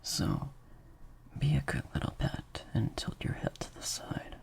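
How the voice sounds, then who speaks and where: A man playing a slightly sadistic vampire master in an improvised audio roleplay, softly taunting and praising in a breathy, creaky near-whisper. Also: close to an everyday voice. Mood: taunting, hungry. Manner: slow, drawn-out, softly taunting.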